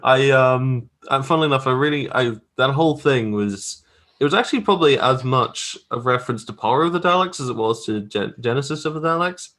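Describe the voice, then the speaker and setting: A man's voice: mid-range voice, a little fast, a bit bright. A man chatting casually as an interview guest, modest and hesitant, circling back over his phrases. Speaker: guest graphic artist.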